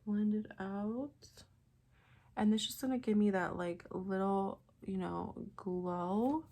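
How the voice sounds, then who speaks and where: A young woman with a raspy, congested voice from a sinus infection, chatting casually through a quick makeup tutorial with lots of fillers. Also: mid-range voice, warm tone. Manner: chatty, rambling with many fillers. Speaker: beauty vlogger.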